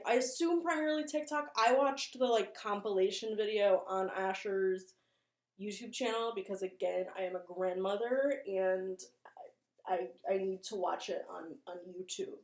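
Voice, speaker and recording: A woman giving casual video commentary as a host, chatty, rambling and self-deprecating. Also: medium pitch. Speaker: host of a horror video channel. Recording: roomy, echoing room sound.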